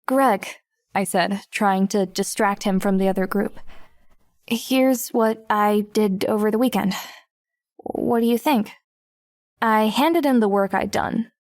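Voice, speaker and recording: A woman's voice: mid-range voice, slow, darkish tone, dry recording, studio quality. An actress playing a wry, self-conscious teenage girl narrating her own story in an audiobook. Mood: tense. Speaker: lead narrator. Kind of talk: first-person audiobook narration.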